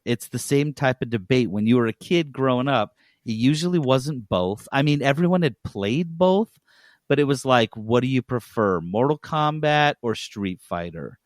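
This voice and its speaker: A man co-hosting a podcast, telling things in an animated, emphatic way amid casual banter. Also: mid-range voice, no echo, studio quality. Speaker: podcast co-host.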